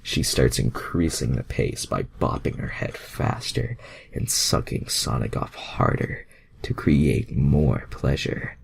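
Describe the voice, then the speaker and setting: A man's voice: extremely low pitch, animated, slow, vocal fry, slight background noise. A man reading fan fiction aloud as an amateur narrator, with sweary, self-mocking, exasperated asides.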